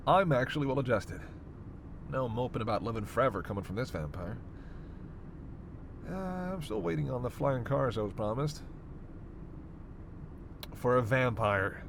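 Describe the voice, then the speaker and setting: A sardonic male vampire, acted by a man for a roleplay audio drama. His mid-range voice teases and needles with theatrical exasperation and casual swearing. Clear vehicle noise runs behind him. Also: mid-range voice. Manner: sarcastic, needling, theatrically exasperated, foul-mouthed. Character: snarky, teasing immortal male vampire passenger.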